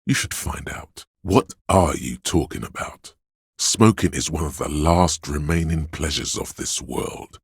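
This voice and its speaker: Casting: a seductive inner voice of addiction in a video game; extremely deep, very raspy, sly and indulgent. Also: male voice, measured pace, dry recording, studio quality, heavily acted. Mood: tempting. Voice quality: gravelly, husky. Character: seductive hedonistic inner voice craving drugs and vice. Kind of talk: video game character voice lines.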